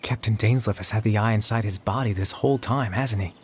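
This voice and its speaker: A man voicing a calm, low-voiced young memory-spirit. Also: slight background noise, lightly acted. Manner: measured, quiet and explanatory. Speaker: game character. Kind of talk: video game voice acting.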